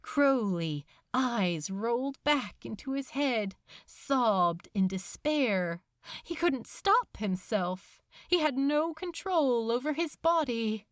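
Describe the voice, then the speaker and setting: A woman reading fiction aloud as a narrator, in a theatrical, intense and deliberate manner. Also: fairly high pitch, expressive, no room sound, clean studio sound. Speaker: podfic narrator. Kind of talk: dramatic scripted fiction narration.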